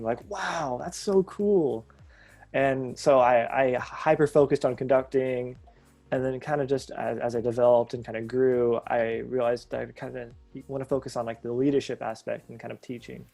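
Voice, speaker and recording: A young man in his late teens, a college freshman and drum major, speaking in a thoughtful, halting way over a video call. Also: mid-range voice, fairly even intonation, gravelly, mellow, soft background music.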